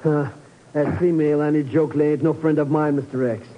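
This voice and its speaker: An actor playing a cowardly comic sidekick in a 1950s radio drama, stammering through slangy, nervous protests. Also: male voice, on the high side, little pitch movement, brisk, a little hiss or hum, lightly acted. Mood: defensive. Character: bumbling, cowardly comic sidekick man, shifty and excitable. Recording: muffled 1950s radio recording.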